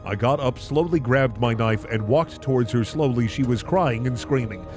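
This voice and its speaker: A man voicing a weary, smug slasher killer narrating his own murders in a deadpan, dramatic way. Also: medium pitch, normal speed, soft background music, natural voice.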